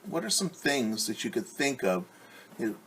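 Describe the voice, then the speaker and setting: A man's voice: mid-range voice, sing-song, a little slow, a bit bright, breathy edge. A man teaching young children at story time, reading aloud and explaining in simple, encouraging words with questions to his listeners.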